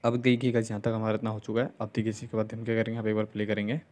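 A man's voice: on the low side, completely flat delivery, rapid-fire, darkish tone. A young man narrating a casual step-by-step tutorial, often dropping in check-in tags and filler phrases. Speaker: video editing tutorial host. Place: voice-over on a screen recording.

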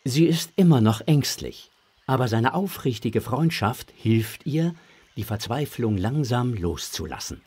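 A male narrator tells a children's story with a very animated melody. His voice is husky and mid-pitched, and his delivery is expressive. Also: measured pace.

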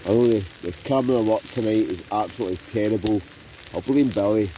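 A man's voice: on the low side, quick pace, a little hiss or hum, low-quality recording. A Scottish man chatting cheerily to viewers as he vlogs a night of campfire cooking outdoors. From Scotland. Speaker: vlogger. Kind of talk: casual camping vlog. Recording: outdoor campfire recording with music behind. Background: music playing, food sizzling.

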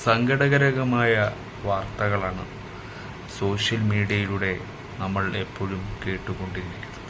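A man gives reflective commentary in a solemn manner. He speaks very slowly, in short halting phrases, with clear noise behind him. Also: on the low side, steady tone.

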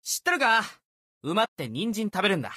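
A man voicing a brash, boastful young sword warrior for an anime-style game, youthful and husky-edged, with cocky, casual boyish speech. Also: high-pitched, sing-song, a little fast, no room sound, studio quality, heavily acted. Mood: upbeat, confident. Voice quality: youthful, bright, husky edge.

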